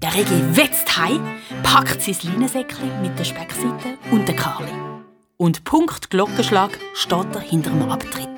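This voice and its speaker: A playful woman storyteller with a Swiss German accent narrates for children. Her mid-pitched voice moves in a very lively way, with music clearly playing behind it. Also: measured pace. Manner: expressive, playful.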